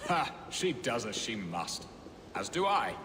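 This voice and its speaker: Wry, flowery lord in booming reverb. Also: male voice, sing-song, measured pace, noisy background. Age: middle-aged. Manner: flowery, theatrical phrasing. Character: wry Saxon lord, lovelorn, hunt-loving, weary of marriage. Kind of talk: period game drama acting. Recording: long reverb under loud music.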